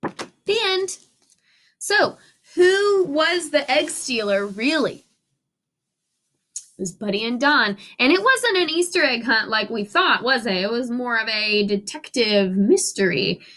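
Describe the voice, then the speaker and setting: Casting: female teacher, storybook read-aloud, high lively voice, instructive and expressive. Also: takes it slowly.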